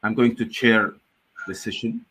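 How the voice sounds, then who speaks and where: A man's voice: fairly low pitch, steady tone, measured pace, fairly bright tone, slightly rough, rough recording. A man chairing an academic conference session on a video call, chatting casually with many fillers and restarts.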